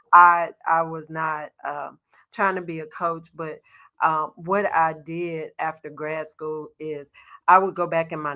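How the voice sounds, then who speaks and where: A middle-aged woman from Arkansas, a basketball coach, telling stories in a relaxed podcast interview with a soft voice and lots of "you know". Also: deep voice. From Little Rock, Arkansas. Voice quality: soft-spoken. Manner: storytelling, warm laughs, frequent "you know". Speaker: associate head basketball coach, guest.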